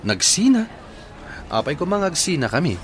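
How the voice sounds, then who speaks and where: A man's voice: on the high side, big pitch swings, normal speed, slight background noise. A man playing a troubled, patient husband and young father in a Filipino radio drama, with an earnest, mild manner. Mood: friendly, puzzled. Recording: loud music bed behind the voice. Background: background music.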